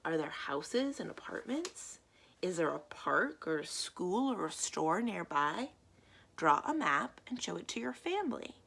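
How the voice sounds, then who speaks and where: A woman's voice: lowish voice, creaky. A woman reading picture books aloud and chatting to young listeners as a children's librarian. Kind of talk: children's picture book read-aloud and chat.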